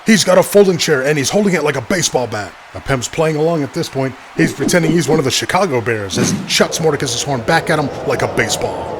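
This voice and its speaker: A man's voice: on the high side, expressive, fast, hardly any pauses, lightly acted. A man playing an earnest ringside announcer, calling a fictional wrestling match play by play. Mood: tense narration. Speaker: wrestling commentator. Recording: very noisy background.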